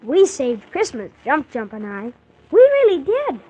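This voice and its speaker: Eager, high-voiced orphan boy. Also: huge pitch swings, unhurried, dark tone, exaggerated voice. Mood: excited, friendly. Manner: earnest, wonder-filled, childlike storytelling with exclamations. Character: eager, wide-eyed young orphan boy telling his adventure. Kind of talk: 1940s children's radio serial storytelling. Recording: muffled 1940s radio transcription, faint hiss.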